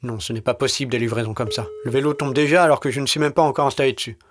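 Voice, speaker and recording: A man's voice: medium pitch, steady tone, rapid-fire. A man narrating a first-person audio short story in terse, weary, wry and colloquial style.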